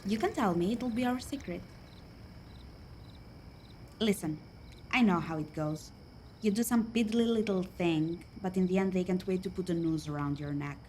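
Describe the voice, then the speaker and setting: A woman's voice: lowish voice, moderate pace, pauses often, darkish tone, slight background noise, close to an everyday voice. A woman acting a worldly, chatty, poor young woman in a radio play, confiding and folksy.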